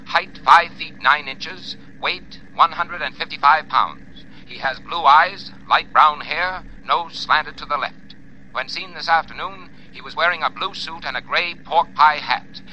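Piercingly bright radio newsman. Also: fairly high pitch, measured pace, lo-fi sound, a little hiss or hum. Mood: urgent, formal. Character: brisk, formal radio newsman reading crime bulletin. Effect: heard through a car radio. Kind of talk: 1940s radio newscast.

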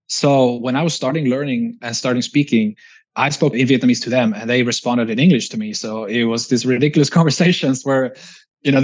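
A man from Sweden in his forties with a Swedish accent, chatting reflectively as an interview guest and often ending thoughts with a quick tag question. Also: medium pitch. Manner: reflective, chatty, frequent restarts and "right?" tags. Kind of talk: casual interview conversation.

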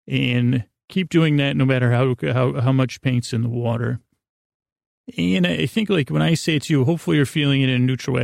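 A middle-aged man with a creaky, gravelly voice in a close, dry recording. He hosts a sleep podcast, wandering through a self-deprecating monologue, often stopping and restarting his thoughts. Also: mid-range voice, darkish tone, no echo. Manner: halting, meandering, self-interrupting. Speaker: podcast host.

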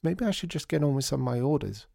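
A man's voice: lively intonation, fast, gravelly, dark tone, no room sound, studio quality. A man putting on a wailing, flustered young woman. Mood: distraught. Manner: wailing, high and fretful.

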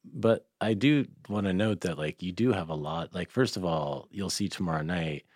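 A man with an American accent and a deep voice hosts a casual interview. He phrases things loosely and with enthusiasm, and the studio sound is close and dry, with very little room around him. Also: normal speed, a little mellow, dry recording. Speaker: interviewer, podcast host.

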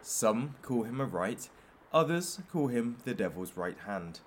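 An actor playing a menacing, sardonic talk show host in a satirical audio drama, with a theatrical, taunting manner. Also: male voice, medium pitch, sing-song, unhurried, slight background noise. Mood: grand showmanship.

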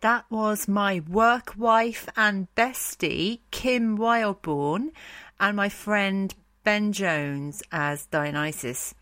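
A woman narrates as a podcast host at an unhurried, slow pace. Her style is informal yet scripted, irreverent and emphatic. The sound is dry, with no room echo. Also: medium pitch, a bit bright.

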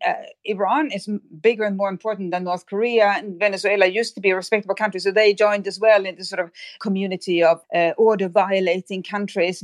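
A woman's voice: mid-range voice, no room sound. A woman speaking as an expert guest in an interview, analysing at length and often repeating words as she thinks aloud. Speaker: expert guest on maritime affairs.

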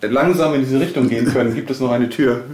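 A man's voice: mid-range voice, quick pace, a little mellow. A man hosting a casual on-location interview in an office. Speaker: podcast host interviewing staff. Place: indoors in an office. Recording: echoey room, clear background noise.

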